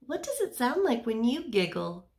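A woman's voice: a little high, big pitch swings, moderate pace, a little mellow. A woman in her thirties reading a picture book aloud to young children as a teacher, explaining simply and putting questions to her listeners.

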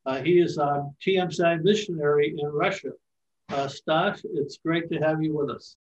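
A man's voice: fairly high pitch, fairly even intonation, normal speed, slight background noise. An older man, a bishop, hosting an interview on a video call, hesitant with many fillers. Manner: hesitant, lots of "uh". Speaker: host, bishop. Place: home room, indoors. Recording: distant, dull call audio.

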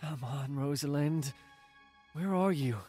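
Man acting slow, hesitant worried boyfriend. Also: fairly high pitch, warm tone, breathy edge, faint music behind. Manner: hesitant, halting. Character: worried, hesitant boyfriend searching for missing girlfriend. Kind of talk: audio drama acting.

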